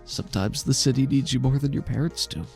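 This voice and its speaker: A man playing a gentle, loving father in a tabletop game, speaking in halting, reassuring tones. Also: medium pitch, gravelly, faint music behind, lightly acted. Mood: gently reassuring. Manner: halting, soft reassurance with frequent stammers.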